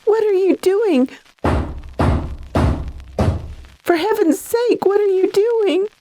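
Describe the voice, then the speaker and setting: A woman playing a frightened, dazed young heiress in a radio horror drama, with a halting, earnest way of talking. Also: very high-pitched, background noise. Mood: alarmed, pleading.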